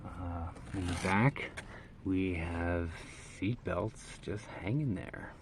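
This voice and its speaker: Deep voiced dude rambling real slow and casual, kinda resigned, halting vlog walkaround. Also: male voice. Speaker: vlogger showing his own vehicle. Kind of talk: unscripted casual walkaround commentary. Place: in and around an old SUV. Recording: noisy, vehicle ambience.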